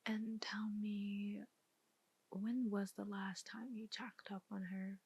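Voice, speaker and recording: A woman performing a soft-spoken ASMR roleplay as a detective, with measured, deliberate questioning. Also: mid-range voice, little pitch movement, breathy edge.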